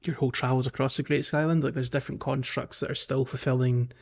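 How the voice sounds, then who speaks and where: A man's voice: mid-range voice, steady tone, fast talker, vocal fry, highs cut off. A man hosting a podcast, talking through a game in a casual, rambling, conversational way. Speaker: podcast host.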